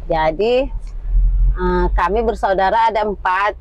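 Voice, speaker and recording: A woman's voice: mid-range voice, a little slow. An older woman from North Sumatra telling her family history in a casual chat with her daughter, in a meandering storytelling way. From North Sumatra, Indonesia. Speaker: interviewee, mother of the host. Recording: slightly dull lo-fi sound.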